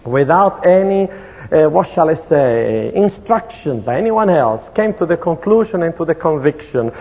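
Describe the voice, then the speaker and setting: A middle-aged man from Italy with an Italian accent, giving an earnest, measured sermon-style talk at a church conference. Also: fairly high pitch, lively intonation. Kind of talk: sermon-style lecture with testimony. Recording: tinny, phone-like, echoey hall.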